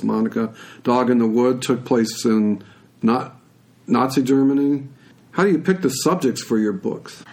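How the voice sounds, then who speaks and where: Male interview host, medium pitch, casual conversational delivery. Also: darkish tone.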